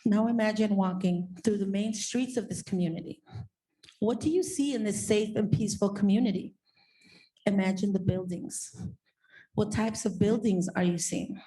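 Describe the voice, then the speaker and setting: A woman leading an audience through guided imagery with a steady run of unhurried questions. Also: medium pitch, rough recording. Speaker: contemplation leader at a summit.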